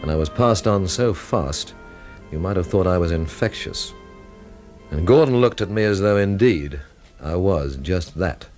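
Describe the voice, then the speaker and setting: A man's voice: low voice, expressive, unhurried, a little mellow, soft background music. An actor playing a wry, brooding, hard-drinking man who narrates and talks with dry, literate sarcasm in a radio drama. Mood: dry, defiant. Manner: dry, sardonic, literate phrasing. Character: world-weary, wry hard-drinking male storyteller, brooding and sardonic.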